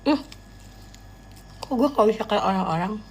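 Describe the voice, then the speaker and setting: A woman's voice: medium pitch, expressive, moderate pace, a little hiss or hum. A woman, chatting casually and thinking aloud as she reviews food. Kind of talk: casual mukbang chat.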